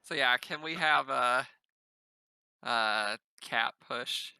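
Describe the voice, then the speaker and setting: Male player, slow and deliberate, bright crisp voice, rule-checking. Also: mid-range voice, no room sound, rough recording. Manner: eager, checks the rules. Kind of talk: playing a tabletop game.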